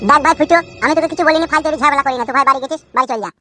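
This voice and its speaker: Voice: high-pitched, very fast, music in the background, close to an everyday voice. A performer voicing a cheeky, foul-mouthed village cartoon character with a rural West Bengal accent, arguing in brash slang. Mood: quarrelsome. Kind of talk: comic cartoon dialogue.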